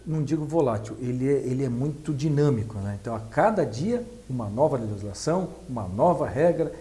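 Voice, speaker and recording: A male interviewer with a Brazilian accent speaks in a soft-edged, dark tone at a middling pitch. He chats casually and repeats words as he shapes his questions. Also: lively intonation, a little fast. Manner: hesitant, repeats words while forming questions.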